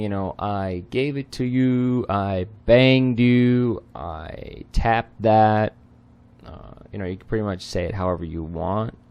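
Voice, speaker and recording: Lesson host: man, low voice, slow unhurried delivery, non-native Spanish, relaxed explainer with frequent ums. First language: not Spanish. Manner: relaxed, filler-heavy, explains word by word. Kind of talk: casual lyric translation lesson.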